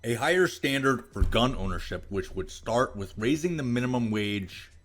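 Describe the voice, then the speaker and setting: A man's voice: mid-range voice, animated, normal speed, fairly bright tone, soft background music. An American man giving opinionated commentary, reading aloud and reacting with sarcastic, profane scorn. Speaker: video commentator.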